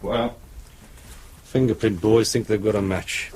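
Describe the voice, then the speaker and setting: An actor with an English accent playing a laconic, wry police subordinate in a radio drama. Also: male voice, on the low side, steady tone, measured pace, darkish tone, slight background noise, lightly acted.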